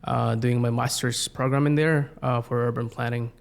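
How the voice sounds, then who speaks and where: Guest in his 30s, Yakut native speaker from Russia, gravelly, monotone delivery, halting. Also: mid-range voice. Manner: halting, many fillers and restarts. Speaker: guest, community planner. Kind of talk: casual interview chat.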